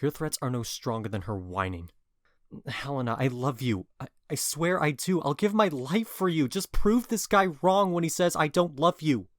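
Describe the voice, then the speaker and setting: A man's voice: fairly high pitch, expressive, moderate pace, no room sound, clean studio sound, close to an everyday voice. Man acting scornful, impetuous young lover. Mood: ardent, defiant. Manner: scornful, vehement, declamatory. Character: bewitched, cruel, impetuous young male lover. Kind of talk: modernized Shakespeare audio drama acting.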